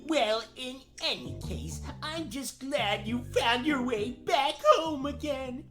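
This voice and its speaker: A woman's voice: high voice, animated, music in the background. A woman playing a tender, guilt-ridden, tearful father in a comedic fairy-tale radio play. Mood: tearful relief. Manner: drawn-out, tearful.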